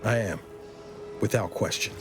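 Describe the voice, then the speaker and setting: An actor playing a loyal uniformed officer in a video game, earnest and assured. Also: male voice, on the low side, measured pace, music in the background, close to an everyday voice. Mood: earnest, determined. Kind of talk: dramatic video game dialogue.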